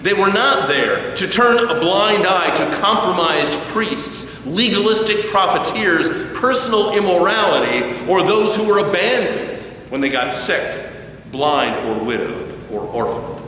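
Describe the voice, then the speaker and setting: Male preacher, fairly high voice, deliberate emphatic pauses, sermon delivery, heavily reverberant room. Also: animated, sharp tone. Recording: very echoey hall, distant, slightly dull, faint noise.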